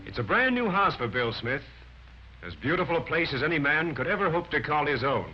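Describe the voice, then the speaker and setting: Male narrator, 1940s instructional film. Lively swooping delivery, emphatic and instructive, muffled vintage soundtrack with faint music. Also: fairly high pitch, fairly quick.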